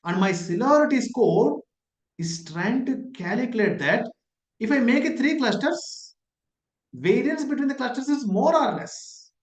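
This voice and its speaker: An instructor with an Indian English accent, teaching an online class over a laptop mic on a video call. The voice sits at an ordinary middle pitch and keeps throwing out rhetorical questions to the students. The office room gives it a noticeable echo. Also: expressive, unhurried. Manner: repeats key points. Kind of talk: online lecture. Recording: echoey room.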